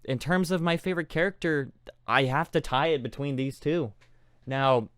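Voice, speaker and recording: A man giving a casual, heartfelt fan tribute in an earnest, halting way. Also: a little high, a bit bright, no room sound, clean studio sound. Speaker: fan contributor, aspiring voice actor.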